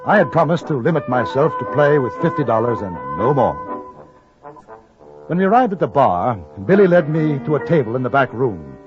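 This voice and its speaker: A man portrays a well-mannered English correspondent out West. He speaks in a medium pitch with literate, reflective phrasing and an English accent. The recording is a dull 1950s radio broadcast. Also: sing-song, music in the background, natural voice. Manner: polite, measured, literate. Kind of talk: 1950s radio drama narration.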